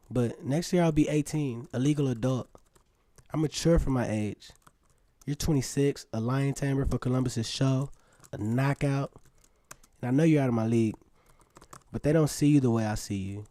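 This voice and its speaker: A man's voice: medium pitch, warm tone, dry recording, natural voice. A man playing an earnest, lovestruck seventeen-year-old boy reading his own letters aloud in a radio drama, with a poetic manner. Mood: lovesick, self-conscious.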